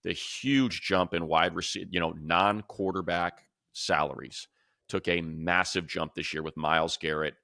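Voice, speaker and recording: A man's voice: sits low, steady tone, unhurried, vocal fry, pushed and bright, dry recording, clean studio sound. An American man co-hosting a sports podcast, talking casually and emphatically in a conversational way. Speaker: sports podcast co-host and commentator.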